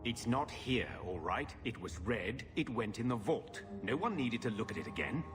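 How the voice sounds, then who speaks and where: An actor playing a sour, shifty middle-aged uncle who answers curtly. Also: male voice, mid-range voice, very animated, moderate pace, natural voice. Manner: curt, defensive. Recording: game audio with music, reverb.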